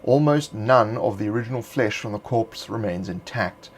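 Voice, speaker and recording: A man narrating a script in a measured, deliberate reading voice. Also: fairly low pitch, a little hiss or hum. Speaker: narrator of a game supplement.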